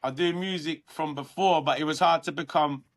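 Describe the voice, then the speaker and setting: A man with a London accent, a guest artist talking earnestly through his career in a relaxed interview. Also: fairly high pitch, normal speed. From London, England. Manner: earnest, reflective, many restarts.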